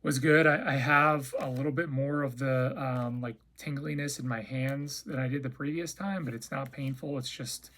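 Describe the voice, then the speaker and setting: Raspy-voiced man chatting casually. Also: medium pitch, steady tone, moderate pace, few pauses, fairly bright tone. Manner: rambling, hesitant, lots of fillers and restarts. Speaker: vlogger. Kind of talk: casual personal update chat.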